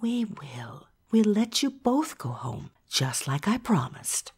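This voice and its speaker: A woman acting a soothing country mother in an audio drama. The voice is husky and mid-pitched, with lively rises and falls. She is gentle, hospitable and keeps the peace. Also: slow, exaggerated voice. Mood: placating. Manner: gentle, placating.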